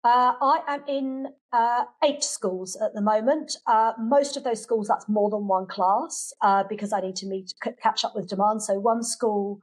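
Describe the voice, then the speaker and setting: A woman's voice: a little high, moderate pace, few pauses, low-quality recording. A British woman, probably middle-aged in tone, chats as an interview guest, often restarting and repeating phrases for emphasis. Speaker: podcast guest, children's language class teacher. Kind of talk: conversational interview answers.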